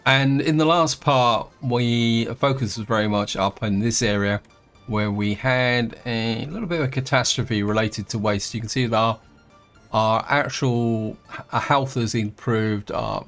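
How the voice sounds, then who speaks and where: A man, croaky from a throat infection, casually thinking aloud as he plays through a strategy game, with lots of fillers and restarts. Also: medium pitch, a little slow, fairly bright tone, faint music behind. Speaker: gameplay host. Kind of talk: casual let's-play commentary.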